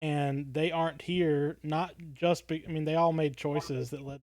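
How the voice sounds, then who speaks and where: A man's voice: fairly high pitch, steady tone, a little slow, a little mellow, no echo, clean studio sound. A man co-hosting a podcast, talking casually in an earnest and thoughtful manner. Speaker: podcast co-host.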